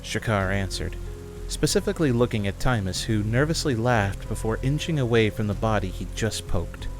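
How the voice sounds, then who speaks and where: A man's voice: fairly low pitch, expressive, normal speed. Male story narrator over music.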